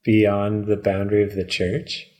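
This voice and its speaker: A man's voice: lowish voice, completely flat delivery, unhurried, dark tone. A man co-hosting a podcast in casual chat, musing aloud and joking as he plays along. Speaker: podcast co-host playing along with the story.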